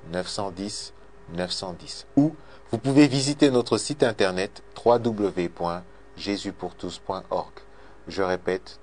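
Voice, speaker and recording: A man preaching a radio sermon and leading a prayer, fervent, repeating his phrases and swinging between loud and soft. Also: on the low side, a little hiss or hum. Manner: fervent, repeats phrases, swells and drops in loudness.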